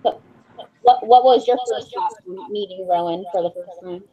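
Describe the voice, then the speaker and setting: A woman's voice: mid-range voice, moderate pace, dark tone, slightly rough. A woman hosting a casual book discussion on a group video call, speaking in an informal, chatty way. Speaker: book club discussion host. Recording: dull lo-fi video call audio.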